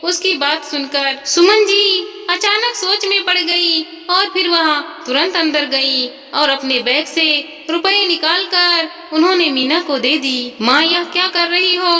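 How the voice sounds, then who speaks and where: A woman's voice: extremely high pitch, slow pace, few pauses, sharp tone. A woman storyteller reading a scripted moral story as narration. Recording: long reverb, music behind. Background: music.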